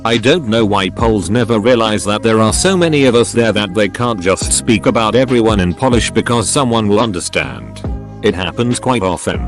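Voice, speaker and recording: Male voice-over reader, lowish pitch, steady delivery, light music bed underneath. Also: normal speed. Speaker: narrator reading online posts. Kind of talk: scripted narration. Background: soft background music.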